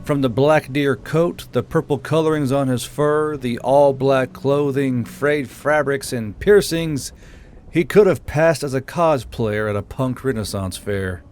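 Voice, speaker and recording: A man's voice: medium pitch, measured pace, a little mellow. A man reading scripted fiction as an audiobook narrator.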